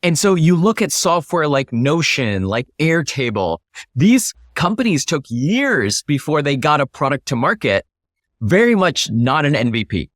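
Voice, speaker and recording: Guy with a slightly high voice being interviewed, explains stuff emphatically, really dry close sound. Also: big pitch swings, a little slow, a bit bright, no room sound. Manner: emphatic, instructive, illustrates points with acted-out example questions. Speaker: interview guest, startup founder.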